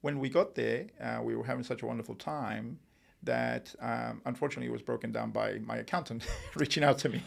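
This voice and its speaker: Gravelly middle-aged man, casual interview guest. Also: mid-range voice, brisk. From Uruguay, raised in Spain. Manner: relaxed storytelling with frequent fillers and restarts. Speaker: entrepreneur guest. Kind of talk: podcast interview.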